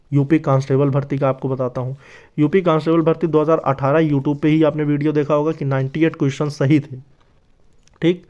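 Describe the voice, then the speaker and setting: Higher-pitched man explaining informally. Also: warm tone. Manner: familiar, brotherly direct address with repeated check-in tags. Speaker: YouTube presenter of exam updates. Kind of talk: informal explainer talk to viewers.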